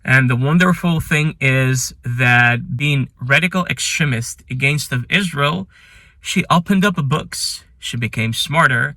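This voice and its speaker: A man who is not a native English speaker hosts a ranked commentary, speaking earnestly and with admiration, with some halting phrasing. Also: medium pitch, pushed and bright, no room sound. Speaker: channel host. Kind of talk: ranking list commentary.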